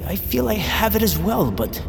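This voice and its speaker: A man's voice: high voice, sing-song, normal speed, vocal fry, full character voice. An actor playing a humble, self-doubting young man in an audio drama, earnest, hesitant and formal. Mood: frustrated. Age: young adult. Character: humble, self-doubting young giant, crippled engineer's son. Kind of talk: immersive audio drama acting. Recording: long reverb over loud music.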